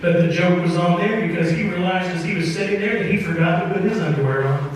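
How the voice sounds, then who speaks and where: A man telling a story live on stage for an old-time radio variety show, in a folksy, measured way with deliberate pauses. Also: high voice. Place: opera house stage with live audience. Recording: roomy, reverberant hall, distant mic, faint noise.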